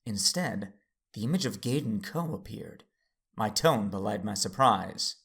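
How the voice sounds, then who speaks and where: A man playing an earnest, worried Jedi father who tells his story in serious, deliberate audio drama narration. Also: lowish voice, lively intonation, vocal fry, fairly bright tone, full character voice. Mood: reflective, serious. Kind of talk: first-person audio drama narration and dialogue.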